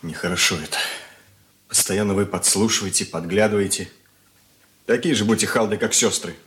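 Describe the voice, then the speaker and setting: Casting: man as defiant, wounded schoolboy, lowish voice, earnest and bitter, Soviet radio play. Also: moderate pace, a bit bright, natural voice. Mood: reproachful. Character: brooding, wounded, defiant schoolboy son Alexei. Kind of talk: Soviet radio drama acting.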